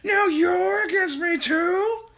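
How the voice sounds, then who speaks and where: A storyteller voicing a horrid, greedy old grandmother who screeches and yells with fury. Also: takes it slowly, vocal fry, full character voice. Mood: furious. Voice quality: shrill, screeching. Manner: yelling, shouting. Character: horrid ancient giant grandmother, furious and greedy. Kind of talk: storybook read-aloud.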